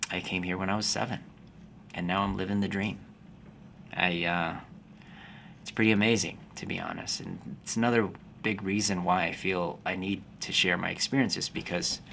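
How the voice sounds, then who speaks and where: A man in his forties, born in the Philippines, a fitness business coach talking to camera in a calm, slow, unhurried way. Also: low-pitched, gravelly, a little hiss or hum. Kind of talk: casual talking-to-camera vlog.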